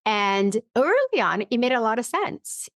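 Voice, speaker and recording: A woman guest in a conversational interview, explaining ideas through personal examples and acting out her inner dialogue in an animated way. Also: on the high side, measured pace, no echo, studio quality. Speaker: podcast guest, psychologist and author.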